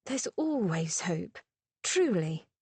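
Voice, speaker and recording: A woman's voice: on the low side, very animated, slow pace, darkish tone, slightly rough, dry recording, full character voice. Kind young lady, earnest, pleading, voiced by a woman, dry.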